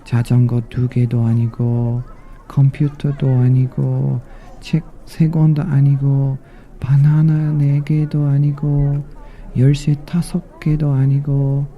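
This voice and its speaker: A man voicing a little lost female mouse in a bedtime story, polite and anxious, speaking in quick, repeated short phrases. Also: medium pitch, little pitch movement, dull audio, close to an everyday voice. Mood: frustrated, insistent.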